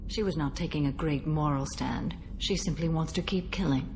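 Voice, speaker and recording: Stoic old alien warrior lady from a video game, low voice, very formal and solemn, noisy ambience behind. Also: moderate pace, gravelly. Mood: solemn, stern. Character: stoic centuries-old female alien warrior sworn to a code. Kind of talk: video game dialogue acting.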